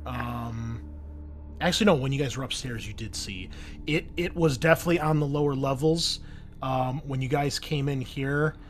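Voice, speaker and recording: A man narrating a tabletop roleplaying game as game master, describing scenes haltingly and correcting himself as he goes. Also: medium pitch. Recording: clear background noise.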